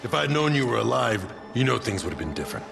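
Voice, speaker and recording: An actor playing a gruff, terse American squad leader in a military video game, commanding and profane. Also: male voice, medium pitch, sing-song, quick pace, music in the background. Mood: bitter, confrontational. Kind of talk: cinematic video game acting.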